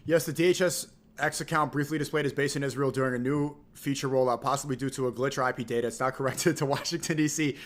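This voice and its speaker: A man's voice: mid-range voice, steady tone, quick pace, few pauses. A man livestreaming casual reactions and reading chat aloud, blunt and profane in his slang. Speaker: live streamer.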